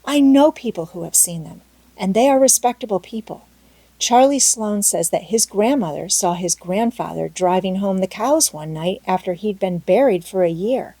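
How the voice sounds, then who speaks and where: An excitable young girl from a storybook, acted by a woman, chattering with breathless drama and vivid imagination. Her voice leaps upward from a soft, mellow base. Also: mid-range voice, normal speed, no room sound. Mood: eager, insistent. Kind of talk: storybook character voice in audiobook.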